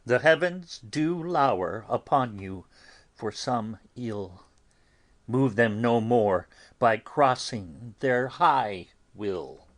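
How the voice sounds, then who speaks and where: A man playing a stern, pious friar in a dramatic Shakespeare audiobook, sermonizing deliberately with long pauses. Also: medium pitch, expressive. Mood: grave commanding. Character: stern, pious friar admonishing and consoling mourners.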